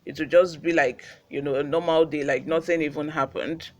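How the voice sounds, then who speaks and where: Higher-pitched Nigerian man presenting news. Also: steady tone, a little fast, slight background noise. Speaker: news channel host. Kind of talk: news reading and informal commentary.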